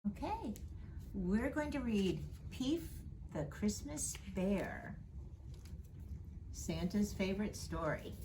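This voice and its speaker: A woman reading a children's storybook aloud in an expressive storytime style. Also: mid-range voice, background noise. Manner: slow, expressive, rhyming read-aloud delivery. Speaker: storyteller reading as Mrs. Claus.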